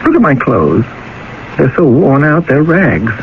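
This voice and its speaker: An actor playing a poor, nervous, brooding young student in a radio drama, speaking hesitantly. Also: male voice, medium pitch, big pitch swings, measured pace, a little hiss or hum. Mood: bitter, defiant. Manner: nervous, hesitant, muttering to himself. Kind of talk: 1970s radio drama acting. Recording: dull radio recording with music.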